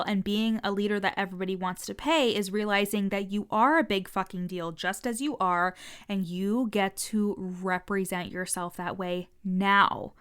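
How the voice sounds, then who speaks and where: A woman's voice: mid-range voice, a bit bright, smooth. A woman business coach talking frankly in a casual podcast monologue, swearing freely, with a stutter.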